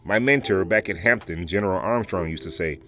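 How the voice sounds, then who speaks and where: An actor playing a dignified, proud Southern educator and orator in an audio play, speaking in a formal, measured, courteous way. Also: male voice, deep voice, music in the background, close to an everyday voice. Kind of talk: dramatic audio play dialogue.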